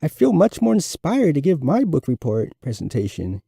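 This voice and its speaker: A man as an earnest schoolgirl, pitched up. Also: huge pitch swings, moderate pace, creaky, warm tone, breathy edge, dry recording, studio quality, heavily acted. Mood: earnest praise. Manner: earnest, admiring. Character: supportive young schoolgirl classmate.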